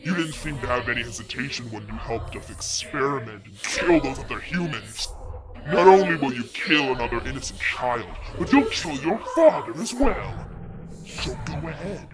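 A man's voice: mid-range voice, animated, over a noisy crowd, close to an everyday voice, rough recording. Man voicing a sadistic, wildly swinging demonic villain. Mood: cruel taunting. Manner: theatrical, mocking, drawn-out menace. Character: sadistic, taunting demonic villain possessing a child. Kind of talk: dramatic comic dub acting. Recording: loud music under the voice.

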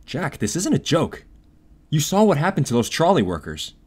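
A man's voice: a little high, huge pitch swings, normal speed, a little mellow, slightly rough, exaggerated voice. A man playing a cautious, sensible young newsboy who reasons earnestly in an audio drama. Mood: worried.

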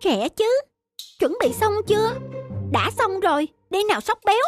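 A woman voicing a small, mischievous young squirrel in a cartoon, with a childish, excitable way of talking. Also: very high-pitched, moderate pace. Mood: plotting. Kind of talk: cartoon voice acting. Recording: faint music behind.

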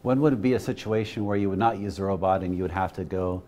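A middle-aged man with a fairly low voice hosts a studio interview. He asks questions in a friendly, upbeat way. He is recorded on a lapel mic in a television studio. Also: quick pace, a little mellow. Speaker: talk show host.

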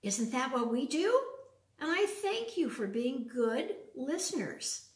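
An older woman reads to small children in a fairly high voice that swings up and down. She speaks in simple phrases and often puts questions to her young listeners. The sound is roomy, as if recorded a little way across a living room. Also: sing-song, slow. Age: older, around 70s. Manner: simple, child-directed talk with frequent questions. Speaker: storyteller reading to children. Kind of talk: children's storytime read-aloud with chatty asides. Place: large open living room with wood floor, indoors. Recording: roomy echo, distant from the mic.

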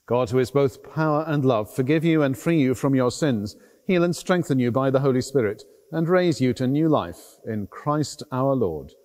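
A man's voice: medium pitch, lively intonation, moderate pace, hardly any pauses, a little mellow. A middle-aged man speaking as a priest, delivering formal liturgical address. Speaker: priest, celebrant. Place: large stone cathedral interior. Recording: long church reverb.

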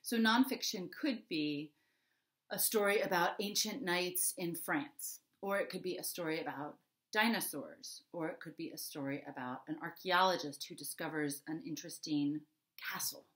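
A woman's voice: lowish voice, moderate pace, a bit bright. A middle-aged American woman, a children's author, explaining things to young children in a simple, conversational teaching manner at home. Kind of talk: explaining to young children before a story reading. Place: home office, indoors. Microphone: computer webcam microphone at desk distance.

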